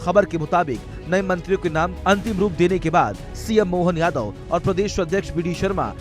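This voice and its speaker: A man's voice: sits high, brisk. A man reading a scripted news report as a voice-over. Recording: music bed under voice.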